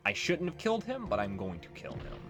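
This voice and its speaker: A man's voice: a little high, huge pitch swings, brisk. A man doing casual, off-the-cuff commentary as he plays a video game. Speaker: let's play gamer. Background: game music.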